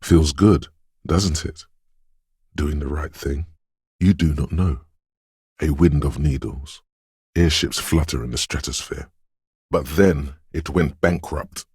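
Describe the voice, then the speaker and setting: Video game inner voice of intuition: extremely low, husky and gravelly, brooding, dreamlike and ominous. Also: male voice, dry recording, studio quality, full character voice. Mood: mysterious. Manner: slow, hushed, ominous, dreamlike, deliberate. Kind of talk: scripted video game narration in second person.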